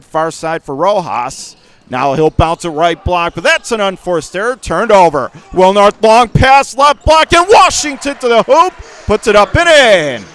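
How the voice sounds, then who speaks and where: A man calling high school basketball play-by-play for radio, rapid and rising to shouts on big plays. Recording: radio broadcast call, ball bounces behind.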